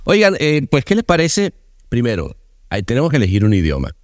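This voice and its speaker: A man hosts a chat in a playful, halting way, his pitch bouncing around a lot. The sound is dry and close. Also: huge pitch swings, dry recording. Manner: playful, halting, lots of fillers. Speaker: podcast host. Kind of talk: casual chat.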